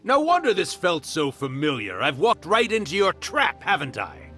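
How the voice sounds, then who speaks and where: Wildly theatrical, mischievous spirit. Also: male voice, a little high, huge pitch swings, normal speed, sharp tone, soft background music, full character voice. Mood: gloating, menacing. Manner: grandiose, teasing, dramatic drawl with swooping emphasis. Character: theatrical, mischievous male yokai spirit posing as villain. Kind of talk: scripted video game voice acting.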